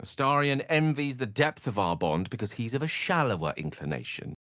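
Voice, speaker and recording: An actor playing a bookish, witty and earnest human wizard in a video game, with erudite, theatrical phrasing and a touch of melancholy. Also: male voice, medium pitch, very animated, a little fast, fairly bright tone, no echo, studio quality. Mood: confiding. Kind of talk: video game character voice lines.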